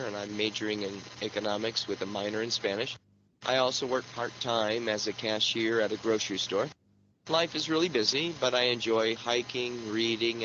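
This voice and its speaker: A man reading a scripted textbook listening passage slowly and clearly. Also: on the low side. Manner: slow, clearly enunciated reading. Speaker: listening exercise recording. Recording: lo-fi playback with background noise.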